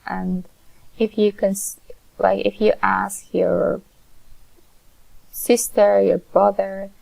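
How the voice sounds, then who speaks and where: Female lecturer, Persian accent, gravelly fry, unhurried explanatory delivery. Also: mid-range voice, flat delivery, mellow, poor audio. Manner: measured, explanatory, frequent uh fillers. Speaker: linguistics lecturer. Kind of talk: recorded online lecture.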